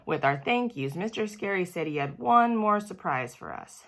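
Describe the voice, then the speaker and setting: A woman storyteller reading a children's book aloud in an expressive, animated way with a childlike first-person delivery. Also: deep voice.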